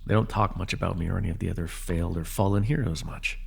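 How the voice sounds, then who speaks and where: A man playing a jaded but protective fallen-hero villain in a superhero ASMR roleplay, speaking intimately in a gravelly, measured, soothing voice with a wry edge. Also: low voice, fairly even intonation, full character voice. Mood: guarded, bitter. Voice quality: gravelly, husky.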